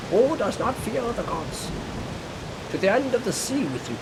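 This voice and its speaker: A man's voice: sits high, very animated, moderate pace, pitched above the performer's own voice. A man voicing a wrathful, grandiose wind god from a video game in a declaiming, theatrical manner.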